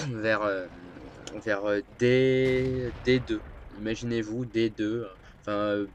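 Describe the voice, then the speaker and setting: A man's voice: lowish voice, a little hiss or hum. A man with a cold and a stuffy nose, rambling and hesitant as he casually talks over his gameplay. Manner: rambling, hesitant, many filler sounds. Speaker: gameplay commentator.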